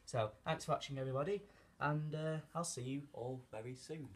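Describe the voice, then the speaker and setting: A man's voice: mid-range voice, brisk. A young British man chatting casually and unscripted to camera as he hosts an unboxing vlog in a living room. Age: young adult. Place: carpeted living room, indoors. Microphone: camera a short distance away. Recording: noisy home camera sound with clicks.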